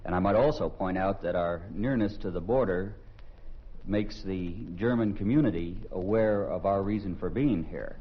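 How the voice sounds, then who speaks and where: A man, an army commanding officer, giving a formal, deliberate briefing on a vintage radio recording. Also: sits low, highs cut off. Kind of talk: explanatory briefing.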